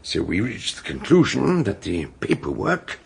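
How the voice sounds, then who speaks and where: Very raspy older detective. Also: male voice, sits low, wildly swooping pitch, exaggerated voice. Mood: deliberate, assured. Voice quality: very husky, gravelly. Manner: measured, theatrical storytelling. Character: eccentric older male detective recounting a case. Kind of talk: scripted radio drama narration.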